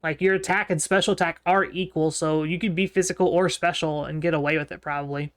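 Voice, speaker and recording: A man co-hosting a casual podcast, thinking aloud in a relaxed way with frequent ums. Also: on the high side, fast talker. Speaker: podcast co-host.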